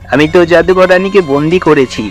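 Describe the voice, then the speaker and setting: A man's voice: on the high side, natural voice. A man voicing a pompous, mocking, cackling evil sorcerer in a fairy-tale cartoon. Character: gloating wicked male sorcerer who usurps the throne.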